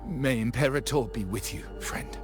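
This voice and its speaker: A man's voice: medium pitch, normal speed, over music, natural voice. A man voicing a dutiful, devout fantasy officer in a video game, formal, reverent and earnest in manner. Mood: composed, reverent.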